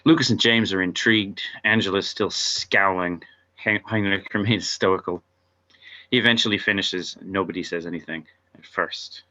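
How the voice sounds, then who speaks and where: A man's voice: low-pitched, moderate pace, bright, forceful tone. A man narrating scene headings and stage directions in a scripted table read. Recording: dull lo-fi audio.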